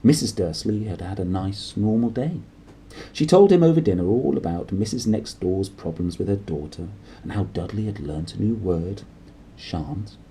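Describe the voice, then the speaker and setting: A man reading a story aloud as scripted narration, in a theatrical, expressive manner. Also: on the low side, moderate pace. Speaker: audiobook reader.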